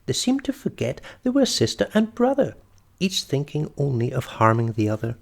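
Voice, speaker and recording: A man's voice: medium pitch, huge pitch swings, moderate pace, creaky. A man reading a children's story aloud expressively, as storyteller and host.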